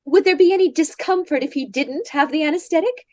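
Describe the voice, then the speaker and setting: A woman's voice: high-pitched, fairly quick, natural voice. A woman playing a penny-pinching, exasperated wife who needles and complains in an old-time radio comedy. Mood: concerned, penny-pinching.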